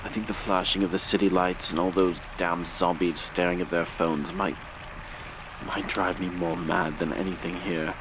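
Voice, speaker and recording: High-strung, anxious grave robber, acted by a man. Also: level tone, normal speed, background noise, natural voice. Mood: nervous, bitter. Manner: nervous, theatrical, fussy. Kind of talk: gothic horror audio drama acting.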